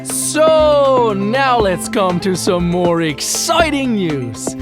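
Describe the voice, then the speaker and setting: A woman's voice: mid-range voice, big pitch swings, drawn-out words. A woman playing a breezy, jokey news co-presenter in an audio drama broadcast. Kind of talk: scripted news broadcast parody. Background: music.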